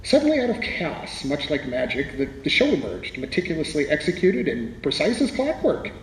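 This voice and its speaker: A man's voice: a little high, huge pitch swings, normal speed. A man playing a wry, literary playwright reminiscing as an interview guest in a radio drama, with hesitant starts. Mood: dramatic. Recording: echoey hall, faint background noise.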